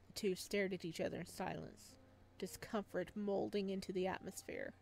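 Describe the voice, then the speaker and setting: A woman reading a visual novel aloud as a let's player, expressive and now and then stumbling over the words. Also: mid-range voice, normal speed, vocal fry, soft background music. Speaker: video game let's player.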